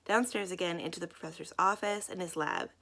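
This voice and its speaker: A woman narrates a children's story as a read-aloud host. Her voice sits rather low and has a strong creak as she moves through the prose. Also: fairly quick, sharp tone.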